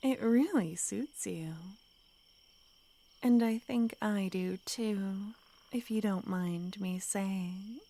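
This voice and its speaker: Woman doing a possessive flirty witch, whispered ASMR, very slow delivery, teasing tone. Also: mid-range voice. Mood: intimate. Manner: soft, teasing, often whispered. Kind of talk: ASMR roleplay.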